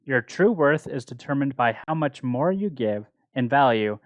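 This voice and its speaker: A man's voice: medium pitch, animated, normal speed, dry recording. A man with a mid-range voice chats through a book's story in a casual, conversational way. He sounds like a podcast panelist in discussion, recorded dry with very little room sound. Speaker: book club podcast panelist. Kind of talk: casual book discussion and retelling.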